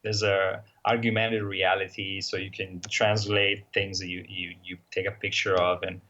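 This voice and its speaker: A man's voice: low-pitched, level tone, unhurried, bright, forceful tone, muffled recording, a little hiss or hum. A man with an Italian accent, talking casually as an interview guest, hesitant and full of fillers and restarts. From Italy. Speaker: guest, motorcycle traveler.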